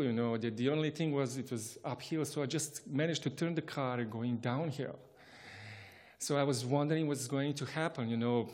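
A man's voice: medium pitch, darkish tone. A man from Bosnia and Herzegovina telling a personal travel anecdote in English, chuckling often and restarting his sentences. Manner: chuckling, halting, with frequent restarts. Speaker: storyteller.

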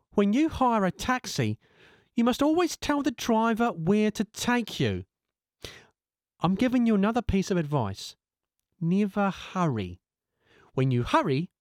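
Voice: mid-range voice, darkish tone, dry recording, studio quality, voice pushed up, natural voice. A storyteller voicing a plain-spoken male taxi driver who lectures his passenger with blunt wisdom, in a read-aloud story.

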